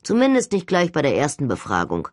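Woman voicing a self-assured young male agent, low voice. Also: wildly swooping pitch, brisk, dry recording, studio quality. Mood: confident reasoning.

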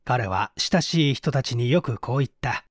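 A man's voice: mid-range voice, expressive, moderate pace, no echo, studio quality. A man reading a mystery story aloud as dramatic scripted audiobook narration. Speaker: audiobook narrator, stage actor.

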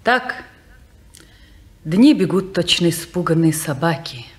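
A woman's voice: on the low side, animated, slow pace. An actress playing an earnest, searching, headstrong young woman in a 1950s radio play, questioning and insistent. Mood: pensive.

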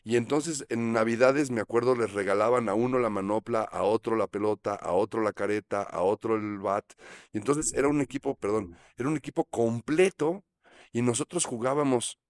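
A Mexican man in his fifties, a guest on a studio interview, telling stories in a relaxed, reflective way, speaking into a close studio microphone. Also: mid-range voice, normal speed, dry recording. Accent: Mexican Spanish. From Mexico City. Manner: reflective, gestures while talking, frequent fillers. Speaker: actor guest. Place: small studio set. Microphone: studio mic on boom arm.

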